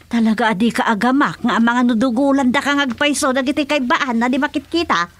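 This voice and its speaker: A woman acting as a nagging village wife with an Ilocano accent, warning and scolding in a comedy radio serial. Her voice sits fairly high and is recorded dry and close. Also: brisk, no echo, lightly acted.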